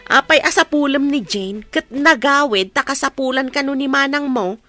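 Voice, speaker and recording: A woman's voice: high voice, normal speed, soft background music. A woman with an Ilocano accent playing a jealous, domineering middle-aged aunt in a radio drama, nagging and scolding. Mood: resentful. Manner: sharp, nagging.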